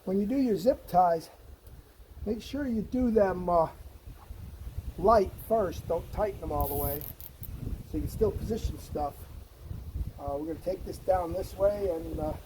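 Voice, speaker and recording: A man with a high-pitched voice presents a hands-on how-to vlog. He sounds folksy and enthusiastic, chatting casually as he works. The recording is outdoors and windy, with noticeable noise and clicks. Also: unhurried, long pauses, mellow, low-quality recording. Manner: enthusiastic, folksy, talking while working. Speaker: host of a DIY Jeep project channel. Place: outdoors beside a vehicle, windy.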